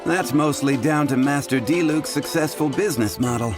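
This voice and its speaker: A man's voice: on the high side, normal speed, lightly acted. An actor playing an adult male tavern bartender in a game, teasing and blunt, over game music. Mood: wry. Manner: teasing, wry, matter-of-fact. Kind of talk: game voice acting. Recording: game audio with music behind.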